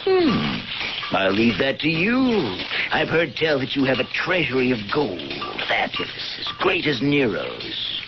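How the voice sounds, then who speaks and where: An actor playing a sly tavern keeper and blackmailer of ancient Pompeii in a radio drama, with a hesitant, insinuating, mock-deferential manner. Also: male voice, medium pitch, huge pitch swings, a little slow. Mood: wheedling, greedy. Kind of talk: theatrical radio drama acting. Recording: noisy, muffled old radio broadcast.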